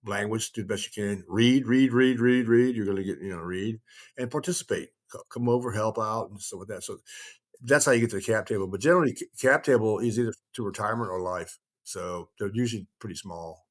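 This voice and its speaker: A man talking casually as he tells stories and explains things, rambling and halting, often trailing off mid-thought. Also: on the low side. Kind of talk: casual conversational storytelling and explaining.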